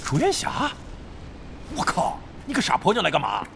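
Brash, swooping, foul-mouthed gamer boss. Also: male voice, high-pitched, huge pitch swings, moderate pace, vocal fry, fairly bright tone, a little hiss or hum, exaggerated voice. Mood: indignant outburst. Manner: blustery, grumbling, swears freely. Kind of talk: Chinese audio drama acting.

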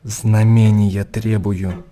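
An ascetic monk pleads with fervour in a radio drama. The voice is low and very dark, drawn out slowly, intense and tormented by doubt. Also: male voice, flat delivery, slight background noise. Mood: fervent pleading. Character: fervent male ascetic monk, burning with doubt.

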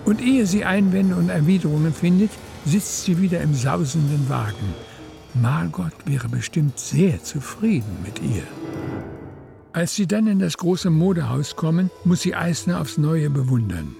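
A man's voice: fairly high pitch, lively intonation, a little slow, creaky, warm tone, faint music behind. A man with pronunciation reading literary narration for a radio drama.

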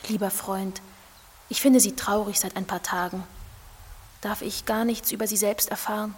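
A woman playing a self-centred, gently coaxing young lady in a German audio drama, speaking softly and formally. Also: medium pitch, fairly even intonation, moderate pace, close to an everyday voice. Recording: long reverb with faint noise.

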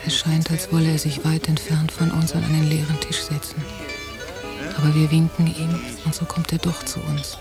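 A woman's voice: low voice, monotone, moderate pace. An actress playing an observant woman narrating a holiday scene in a radio drama. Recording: 1990 radio drama, guitar music behind.

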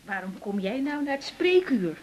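An actress from the Netherlands playing a caring, hesitant young doctor in a naturalistic Dutch radio drama, with a breathy voice. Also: female voice, high-pitched, very animated, normal speed. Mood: friendly, familiar. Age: young adult.

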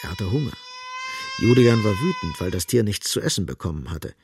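An actor as a grave, dramatic literary narrator in a radio play. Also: male voice, lowish voice, very animated, fairly quick, background noise. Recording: music underneath.

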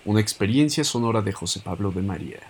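A man's voice: lowish voice, animated, fast, husky. A man with a Mexican accent reading scripted narration for an audio story.

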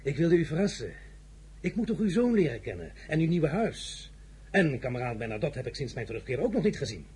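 An actor in a radio play as an ambitious, breezy French general, jovial yet imperious and needling. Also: male voice, fairly high pitch, big pitch swings, brisk, darkish tone, slightly rough, full character voice. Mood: charming.

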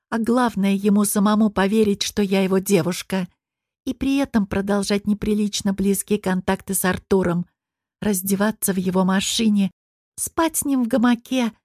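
A woman reading first-person fiction as an expressive audiobook narrator. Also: mid-range voice, no room sound, clean studio sound.

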